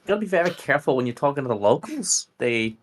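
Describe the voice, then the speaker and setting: A man voicing a blunt, matey character in a tabletop roleplay, with a husky voice. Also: medium pitch, very animated, quick pace. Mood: matey, frank.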